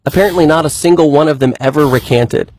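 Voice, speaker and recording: Higher-voiced earnest man acting a believer. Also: fast, a little mellow, no room sound, close to an everyday voice. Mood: reasoned, emphatic. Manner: explanatory, methodical, articulate. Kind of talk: scripted dialogue skit.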